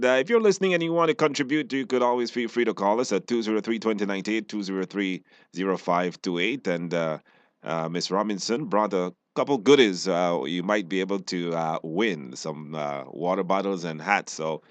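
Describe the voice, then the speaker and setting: A man's voice: on the low side, dry recording. A man with a Belizean accent hosting a radio show in a casual, conversational style. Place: radio studio. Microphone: studio microphone.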